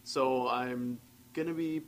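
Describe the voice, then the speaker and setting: A man's voice: medium pitch, steady tone, slow pace, a little hiss or hum. A tired man, co-hosting a casual podcast and rambling about long work shifts. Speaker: podcast co-host. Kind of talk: casual chat.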